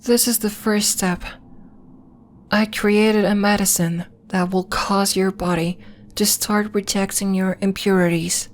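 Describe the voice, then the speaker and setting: Woman playing a slow-spoken gentle moon healer. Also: mid-range voice, steady tone, natural voice. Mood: calm, solemn. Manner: measured, formal, reassuring. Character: gentle, earnest female alien moon-temple healer. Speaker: ASMR roleplay voice actor. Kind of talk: slow, soft ASMR roleplay acting.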